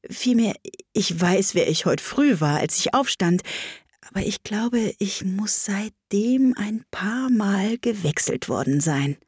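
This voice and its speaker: A woman's voice: mid-range voice, sing-song, normal speed, darkish tone, breathy edge, dry recording, clean studio sound. A woman voicing a polite, earnest, confused young girl in a storybook, speaking a little hesitantly. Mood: shy, confused.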